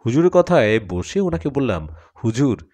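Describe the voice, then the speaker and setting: A man narrating a dramatic first-person story in an expressive way. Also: medium pitch, normal speed. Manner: expressive, acts out dialogue.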